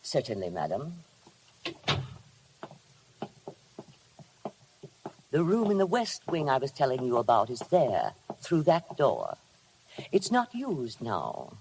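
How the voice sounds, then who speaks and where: A woman playing a stiffly formal, quietly menacing housekeeper in a 1950s radio drama, speaking with measured deference. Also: extremely low pitch, huge pitch swings, full character voice. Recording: noisy vintage 1950 radio broadcast.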